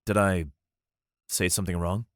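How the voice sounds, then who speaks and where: A man's voice: low voice, steady tone, moderate pace, no echo, clean studio sound, natural voice. A man voicing an earnest young male alchemist explaining his plans.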